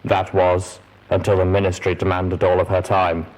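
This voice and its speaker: A nostalgic little robot watcher speaks in a low, mechanical voice, as a male reader plays it. It announces its memories wistfully, as a character in a storybook. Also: monotone, moderate pace, a little mellow, lightly acted, poor audio. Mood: nostalgic, announcing.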